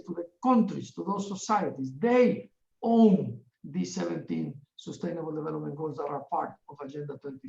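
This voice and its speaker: A middle-aged man from Ecuador, chairing a webinar in a formal, earnest opening address, halting often with fillers. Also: high-pitched, gravelly, darkish tone. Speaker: webinar chair, UN division director. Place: office in a large UN building. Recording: echoey, distant and dull-sounding.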